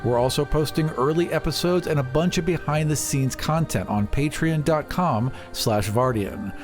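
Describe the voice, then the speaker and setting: A man's voice: a little high, normal speed, over music. A man speaking as the host and game master of a tabletop fantasy show.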